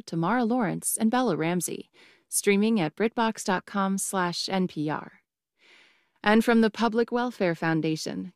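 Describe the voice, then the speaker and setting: A woman's voice: mid-range voice, a little mellow, dry recording, studio quality. A woman reading scripted sponsor credits for radio. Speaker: sponsor announcer.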